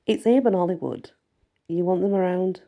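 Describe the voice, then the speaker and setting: A woman voicing a young male werewolf pack alpha, a tender, teasing boyfriend who speaks low and soft. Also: moderate pace, natural voice. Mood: calm, caring.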